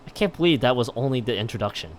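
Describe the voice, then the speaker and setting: A man's voice: medium pitch, expressive, racing, slight background noise. A man commentating on a game stream and reading game text aloud in a rambling way, often stumbling and correcting himself. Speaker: live streamer.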